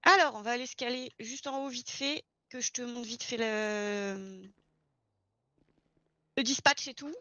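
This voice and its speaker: A woman's voice: on the high side, measured pace, long pauses, pushed and bright, dry recording, highs cut off. A woman explaining things step by step in an informal, casual roleplay chat. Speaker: experienced garage employee training a new recruit in online roleplay.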